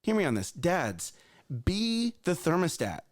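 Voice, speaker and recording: A man's voice: high voice, huge pitch swings, measured pace. A man in his thirties, co-hosting a morning show, tells a personal parenting story in an earnest, repetitive way and speaks straight to listeners. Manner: earnest, repeats himself, addresses listeners directly.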